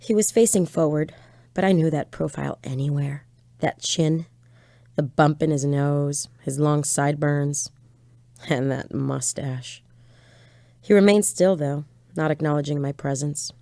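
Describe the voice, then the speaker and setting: A woman's voice: low-pitched, measured pace, gravelly, mellow, no echo, clean studio sound. An actress playing a shaken woman alone on a night drive, narrating her visions in a wistful, theatrical audio drama style. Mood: stunned.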